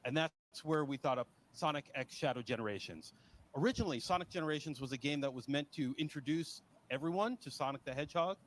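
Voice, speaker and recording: A man interpreting at a panel, explaining points in a measured conversational way. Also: a little high, slight background noise.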